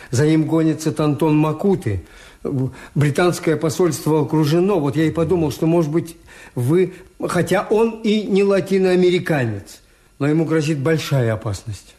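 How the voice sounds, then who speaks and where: Wry hotel owner, first-person narrator, slightly high voice, measured, Soviet radio play. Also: male voice, dark tone, breathy edge. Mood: anxious, polite. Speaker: narrator and lead character.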